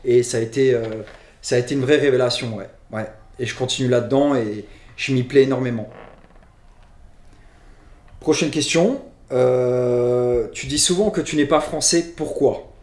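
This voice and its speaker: Luxembourgish guy answering fan questions, keeps restarting his sentences, mid pitch, echoey room. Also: darkish tone. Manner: halting, restarts phrases often. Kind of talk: casual Q&A chat.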